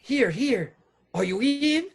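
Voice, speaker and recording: A woman's voice: high voice, lively intonation, slow pace, gravelly, background noise, exaggerated voice. A woman playing a timid, hesitant doctor, a criminal's hapless sidekick, in a radio play. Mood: nervous. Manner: hesitant, placating.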